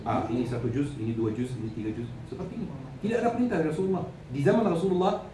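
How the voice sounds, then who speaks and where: A man's voice: a little high, mellow. A man with an Arabic accent, a native Arabic speaker, giving an Islamic lecture in a didactic way, repeating phrases and answering his own questions. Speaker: Islamic preacher. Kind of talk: religious lecture, teaching with questions to listeners. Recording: echoey, noisy room, distant microphone.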